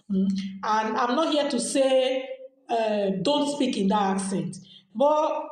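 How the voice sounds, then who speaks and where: A woman's voice: on the high side, darkish tone. Nigerian woman in a very echoey room. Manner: chatty, repeats phrases while explaining. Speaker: podcast host. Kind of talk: casual advice chat.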